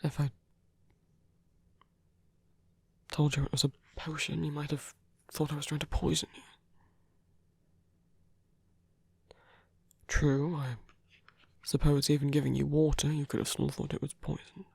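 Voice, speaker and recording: A man playing a protective, gently teasing villain in an intimate ASMR roleplay, speaking in a soft, hesitant, breathy half-whisper. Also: on the high side, flat delivery, fairly quick, no echo. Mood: hesitant, softly teasing. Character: male villain, protective, gently teasing, rescuing a wounded rival.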